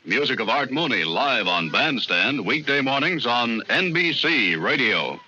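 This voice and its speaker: An American man doing 1950s radio promo announcing on a rough old recording. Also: mid-range voice, sing-song, measured pace, bright, forceful tone, dull audio.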